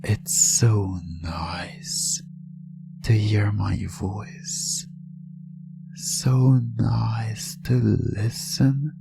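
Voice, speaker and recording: A hypnotist narrator speaking in a soothing, commanding, repetitive way, often slipping into a breathy whisper in an ASMR style. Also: mid-range voice. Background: music.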